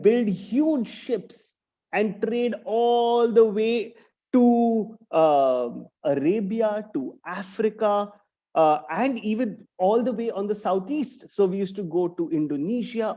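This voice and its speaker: High-voiced man teaching kids. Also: animated, takes it slowly, mellow. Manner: tags sentences with a questioning "right?". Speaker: guest speaker for schoolchildren. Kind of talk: online talk to young students. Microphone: video call.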